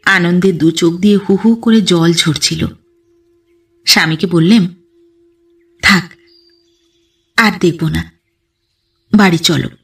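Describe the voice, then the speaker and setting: Female audio-story narrator, unhurried and expressive literary first-person reading, recorded slightly off the mic. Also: fairly low pitch, recorded from a distance.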